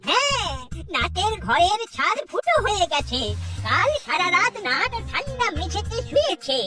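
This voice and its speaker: A toothless old cartoon grandfather, wheedling and genial yet crafty. The voice is extremely high and animated, somewhat distant, with loud music behind it. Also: normal speed. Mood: scheming, businesslike. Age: older. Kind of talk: exaggerated Bengali cartoon dialogue.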